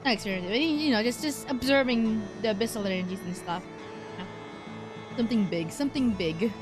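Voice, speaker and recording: A woman's voice: a little high, fast talker. A woman giving casual, rambling live commentary as she plays a video game, chatty and slangy. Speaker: streamer playing a video game. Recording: game music behind voice.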